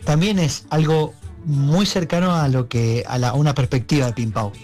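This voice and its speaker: A man with an Argentine accent speaking as a musician guest in an interview, reflective and halting, often checking for agreement. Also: a little high, a little mellow, faint music behind. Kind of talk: conversational interview.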